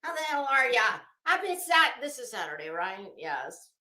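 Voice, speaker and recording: High bright voiced woman host, rambly and sardonic, chatting casually in an echoey room. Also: big pitch swings, normal speed. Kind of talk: casual solo livestream chat. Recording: roomy, echoey room sound.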